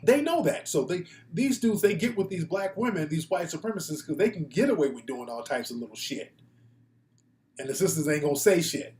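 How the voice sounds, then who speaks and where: A man's voice: on the high side, animated, fairly quick, a little mellow. An American man hosting a casual, opinionated live talk show, speaking bluntly with slang and profanity. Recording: echoey room.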